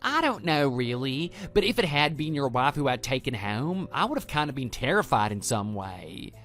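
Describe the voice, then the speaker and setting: A man's voice: medium pitch, sing-song, moderate pace, fairly bright tone. A man voicing a brash, evasive young former student in a scripted audio drama, with a flippant, defensive manner. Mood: relieved, flippant. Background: background music.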